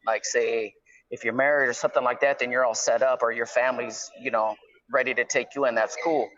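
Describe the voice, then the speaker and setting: Middle-aged male caller, conversational explainer, medium pitch, slightly muffled lo-fi phone sound. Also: steady tone, fairly quick, a bit bright. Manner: conversational, explanatory, peppers talk with "right?" and "you know". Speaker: guest calling from prison. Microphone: phone line. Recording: slightly dull, lo-fi phone call.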